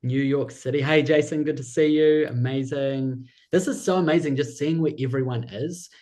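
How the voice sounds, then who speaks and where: A man's voice: medium pitch, steady tone, moderate pace, a little mellow. A man with a New Zealand accent hosting a live webinar, enthusiastic and reading out viewers' chat messages. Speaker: webinar host and business coach.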